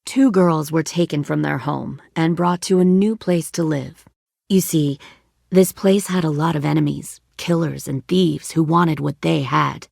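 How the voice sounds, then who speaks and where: A woman's voice: deep voice, fairly even intonation, a little slow, creaky, darkish tone, dry recording, clean studio sound, exaggerated voice. A woman playing a cold, menacing middle-aged commander of armed survivors in a dramatic video game. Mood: calm, bitter storytelling.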